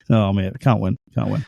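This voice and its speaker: A man's voice: lowish voice, vocal fry, darkish tone, no echo. A man with a thick accent co-hosting a casual podcast, chatty and teasing, laughing often. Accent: thick non-Australian accent. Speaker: podcast co-host.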